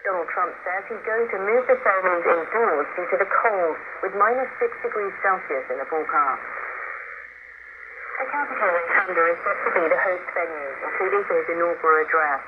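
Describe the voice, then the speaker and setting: A woman's voice: on the low side, narrow, tinny audio. Woman newsreader through heavy shortwave radio noise. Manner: measured, formal delivery. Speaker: news anchor. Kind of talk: scripted news reading. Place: broadcast studio, heard over shortwave radio. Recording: very noisy shortwave radio broadcast.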